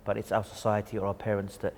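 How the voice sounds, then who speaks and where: A man's voice: lowish voice, completely flat delivery, quick pace, a little mellow, long reverb tail. A man hosting a studio talk show interview, speaking fluently with Arabic greetings. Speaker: host.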